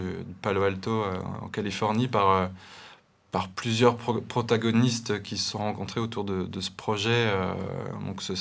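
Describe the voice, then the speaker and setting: A young man with an eastern French accent, a designer guest in a studio interview, explaining with many restarts and fillers. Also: fairly low pitch. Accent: eastern French, Montbéliard area. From eastern France.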